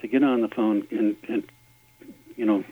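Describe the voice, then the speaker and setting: A man's voice: on the low side, steady tone, husky, no room sound. Earnest man on a tinny phone line. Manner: earnest, halting, lots of fillers. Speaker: caller, campaign volunteer.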